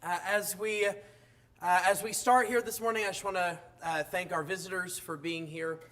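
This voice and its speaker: A hoarse man delivers a church sermon in a high-pitched voice. He keeps stumbling into fillers and restarts as he speaks. His voice sounds rough and strained. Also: fairly even intonation, a bit bright. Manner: halting, frequent uh fillers and restarts. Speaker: preacher.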